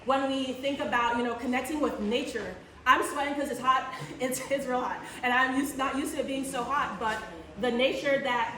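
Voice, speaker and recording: A woman in her thirties, from Barbados, giving an earnest talk to a church audience and asking them questions. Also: fairly high pitch. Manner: earnest, restarts phrases, asks the audience questions. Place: church in the Caribbean. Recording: echoey church room from far off, faint noise.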